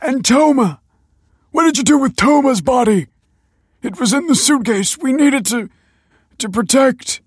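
An old father, paranoid and agitated, in an audiobook. The voice is pushed very high and swings widely, with a rough husky edge. He sounds desperate and indignant, straining to be believed. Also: lively intonation, unhurried, pauses often, dry recording, clean studio sound, exaggerated voice. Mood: demanding, desperate.

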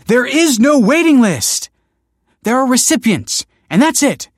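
A man's voice: fairly low pitch, normal speed, husky, no echo, clean studio sound. A man voicing a firm, tense married woman in an audiobook, insistent and emphatic. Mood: exasperated. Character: firm, tense wife, a fertility director.

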